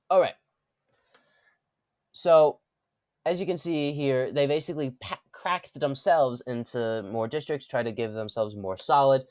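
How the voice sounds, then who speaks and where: A man's voice: mid-range voice, darkish tone, smooth, no echo, clean studio sound. Congested man rambling through political commentary. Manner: rambling, with frequent restarts and self-corrections. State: congested from a cold. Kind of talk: casual explainer commentary.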